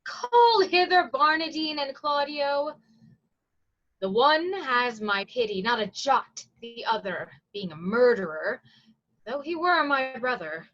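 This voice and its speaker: Dull-sounding, high-voiced commanding prison provost played by a woman. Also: lively intonation, slow, fairly bright tone, heavily acted. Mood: sober, pitying. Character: brisk, commanding prison provost, stern yet pitying. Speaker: actor in a staged reading. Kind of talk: Shakespearean stage reading.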